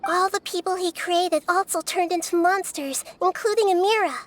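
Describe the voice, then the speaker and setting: Very high-pitched, wise little girl. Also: fairly even intonation, normal speed, a little hiss or hum. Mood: grave, explaining. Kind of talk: video game cutscene acting. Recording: music behind the voice.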